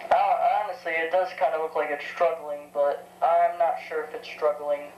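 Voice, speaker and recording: A woman's voice: sits low, fairly even intonation, normal speed, darkish tone. Teenage girl in an echoey room. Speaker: vintage tech hobbyist demonstrating a tape recorder. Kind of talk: casual unscripted equipment demonstration. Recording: roomy echo with faint background noise.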